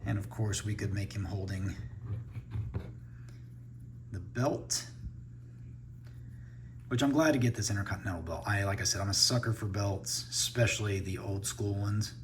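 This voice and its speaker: A man's voice: lowish voice, normal speed, long pauses, a little hiss or hum. A man giving a casual unboxing review, thinking aloud as he handles the toys. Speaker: toy reviewer.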